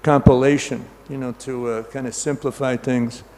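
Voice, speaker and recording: An older man giving an informal scripture class, talking loudly and in a halting, rambling way full of ums and you-knows. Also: medium pitch, level tone, big-room sound. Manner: loud, says he has a loud voice.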